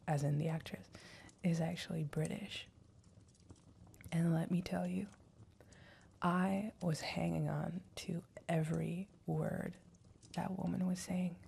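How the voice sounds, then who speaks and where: A young woman speaking tenderly in a soft whisper, as in an ASMR roleplay. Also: lowish voice, gravelly, husky. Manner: tender, affectionate. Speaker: roleplay partner.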